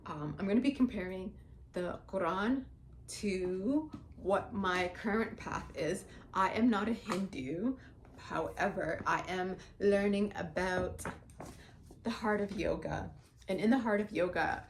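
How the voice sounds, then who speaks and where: A woman's voice: lowish voice, a little hiss or hum. A Somali-born woman in her forties with Somali as her first language, talking bluntly and swearing in a casual vlog. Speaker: vlogger. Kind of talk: casual talk to camera.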